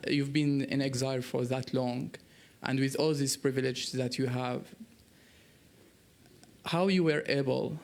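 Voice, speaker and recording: A young man from Syria with a Syrian Arabic accent, speaking earnestly and searching for words as he puts a question in a dialogue. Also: mid-range voice. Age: young adult. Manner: halting, searching for words in non-native English. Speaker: youth delegate asking a question. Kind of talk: earnest prepared remarks in a dialogue.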